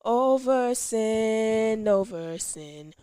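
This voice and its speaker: A woman's voice: a little high, animated, very slow, dark tone, clear, resonant tone, no echo, clean studio sound. A woman singing a gospel devotional song. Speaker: singer.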